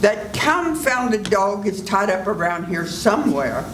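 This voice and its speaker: A woman's voice: medium pitch, very animated, a little slow, a little hiss or hum. An older woman playing a firm, take-charge doctor. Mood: steady. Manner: firm, reassuring, commanding. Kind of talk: 1940s radio drama reading. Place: senior center event room. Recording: echoey room with loud music.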